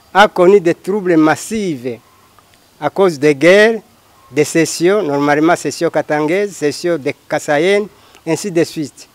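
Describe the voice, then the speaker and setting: A middle-aged man from the Congo with a Congolese French accent, giving formal, deliberately phrased explanations as an interview guest. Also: on the high side, a little mellow, no room sound. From Democratic Republic of the Congo. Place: outdoors by a house wall. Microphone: handheld microphone held by interviewer.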